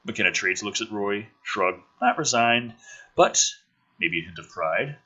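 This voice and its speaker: Male script reader and commentator, bright, forceful and very animated, sardonic edge, slightly husky. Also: on the low side, unhurried, highs cut off. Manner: sardonic, emphatic, profane. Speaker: host reading a film script aloud with his own commentary. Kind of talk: dramatic script reading with sarcastic asides.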